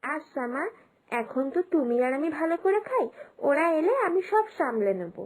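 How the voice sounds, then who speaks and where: A woman's voice: sits high, very animated, moderate pace. A woman voicing a confident, caring young wife and daughter-in-law in a moral-story cartoon, earnest and persuasive. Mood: eager, reassuring.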